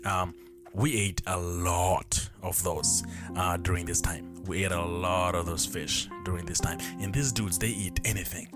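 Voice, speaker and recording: A man's voice: deep voice, fairly even intonation, measured pace, music in the background. A man in his twenties hosting his own podcast, telling childhood memories in a rambling, self-correcting way as he thinks aloud. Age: 20s. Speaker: podcast host. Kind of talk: casual solo storytelling. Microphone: camera mic.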